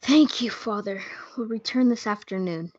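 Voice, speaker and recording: A woman's voice: mid-range voice, normal speed, a little mellow, natural voice. A young woman as a lovestruck youth, lo-fi. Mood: earnest, eager. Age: teenager. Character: earnest lovestruck young man, Romeo. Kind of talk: amateur reader's theatre. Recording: dull lo-fi audio.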